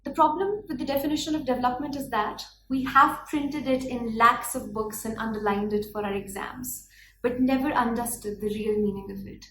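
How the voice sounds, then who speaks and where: Young indian woman giving a passionate speech, bit high voice, echoey room. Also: moderate pace, a bit bright. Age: 20s. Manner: earnest, declamatory, rhetorical questions. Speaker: speaker. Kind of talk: prepared speech. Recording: echoey room, faint background noise.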